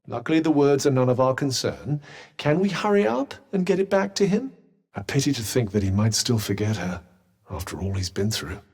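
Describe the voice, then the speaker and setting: A man's voice: medium pitch, big pitch swings, fairly quick, darkish tone, breathy edge. A man with a British accent voicing a haughty, sardonic talking magical object in a video game, with a dry, condescending and theatrical manner. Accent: British English. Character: sardonic, haughty, well-spoken talking magical cuff, male. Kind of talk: video game character dialogue.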